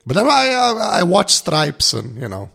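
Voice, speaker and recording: A tired man with a gravelly voice chatting casually as a podcast guest, rambling in an informal, self-deprecating way. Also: high voice, wildly swooping pitch, normal speed, a bit bright. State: tired, barely awake. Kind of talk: casual chat.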